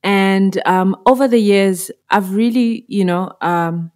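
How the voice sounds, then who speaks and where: A woman from Zimbabwe in her thirties, a musician answering podcast questions in a reflective way with fillers and soft laughs. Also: mid-range voice, slow. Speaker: musician guest. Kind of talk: podcast interview.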